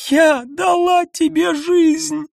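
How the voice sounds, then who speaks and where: A man's voice: very high voice, takes it slowly, dry recording, studio quality, voice pushed up, exaggerated voice. A male narrator voicing a wounded, exhausted woman who pleads and sobs. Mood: defiant. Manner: sobbing, pleading. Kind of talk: audiobook character voice.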